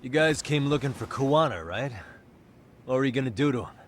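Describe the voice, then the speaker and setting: A man's voice: medium pitch, expressive, a little fast, slight background noise, close to an everyday voice. An actor playing a confident, casual male detective with a dry, probing manner in an English game dub. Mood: pressing. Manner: cool, casual, dryly confident. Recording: music behind the voice.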